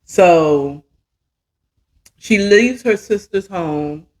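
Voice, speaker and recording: A woman's voice: lowish voice, expressive, extremely slow, darkish tone. A woman in her fifties telling a story casually as a podcast host, chatty and emphatic, often checking in with the listener. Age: 50s.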